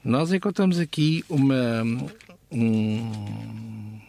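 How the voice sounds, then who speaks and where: A man with a European Portuguese accent expounding scripture conversationally as a Bible teacher, halting, with pauses and frequent check questions. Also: mid-range voice, animated, creaky, darkish tone.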